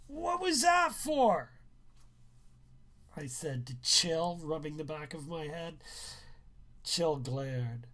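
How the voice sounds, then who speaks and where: A man reads a novel aloud as its author. His delivery is slow and measured, with lively swings in pitch and an expressive storyteller's phrasing. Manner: measured, expressive, acts out dialogue. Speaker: author reading own book. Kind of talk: narrated live book reading, first-person teen story.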